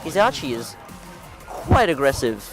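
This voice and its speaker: A man's voice: on the high side, huge pitch swings, moderate pace. A man casually commentating a fighting game tournament, chatty and rambling, who laughs at his own clumsy phrasing; English is not his first language. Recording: music playing loudly behind.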